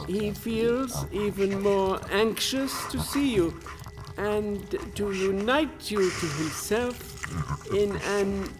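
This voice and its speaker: A man playing an officious demon underling in a radio drama, speaking in a halting, fussy, stiffly formal manner. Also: sits high.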